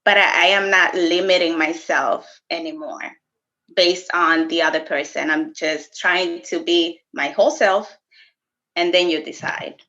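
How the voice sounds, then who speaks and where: Animated woman chatting, slightly muffled. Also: lowish voice, measured pace. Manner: animated, laughs often, code-switches briefly. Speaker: podcast co-host. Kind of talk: casual conversation.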